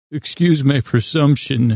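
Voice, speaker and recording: Creaky-voiced man rambling, dry and close. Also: warm tone, no echo. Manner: hesitant, repeating and trailing off mid-sentence; soothing, low-pressure reassurance. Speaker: host of a bedtime podcast for sleep. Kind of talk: rambling, meandering bedtime monologue.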